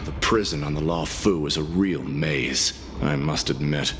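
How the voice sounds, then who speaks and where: A man's voice: extremely low pitch, a little slow, noisy background. An actor playing a blunt, fatalistic male bodyguard in a video game. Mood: wry, measured. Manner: blunt, grimly resigned. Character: gruff, fatalistic male bodyguard, coolly pragmatic.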